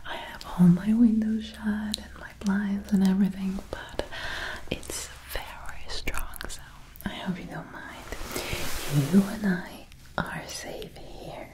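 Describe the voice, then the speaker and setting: Young female ASMR performer, clinician roleplay: hushed whisper, extremely unhurried, soothing, close-miked. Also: mid-range voice, flat delivery, poor audio. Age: young adult. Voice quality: breathy unvoiced whisper. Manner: slow, hushed, soothing, repeating words gently. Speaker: ASMR host playing a hearing-test clinician. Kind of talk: ASMR roleplay, soft reassuring instructions. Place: small home room, indoors. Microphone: close binaural ASMR microphone.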